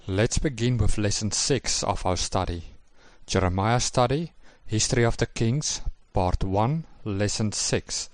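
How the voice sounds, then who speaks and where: A man in a recorded lesson presentation, reading scripture passages in a measured, formal way. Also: fairly low pitch.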